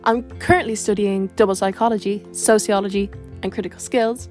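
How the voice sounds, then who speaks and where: A woman's voice: medium pitch, steady tone, brisk, a little mellow, faint music behind. A nineteen-year-old woman student co-hosting a podcast, making an earnest, emphatic argument and repeating her points. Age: young adult.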